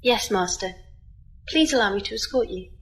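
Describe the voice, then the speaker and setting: A woman voicing a formal, obedient female ship AI who serves as a squire, reporting in a precise, flat way. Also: mid-range voice, moderate pace, a little hiss or hum, lightly acted. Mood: dutiful. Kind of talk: audio drama acting.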